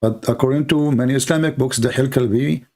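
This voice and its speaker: Gravelly-voiced man, insistent debater. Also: medium pitch, a little fast, darkish tone. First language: Arabic. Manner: insistent, repeats catchphrases. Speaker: debate host. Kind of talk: heated debate.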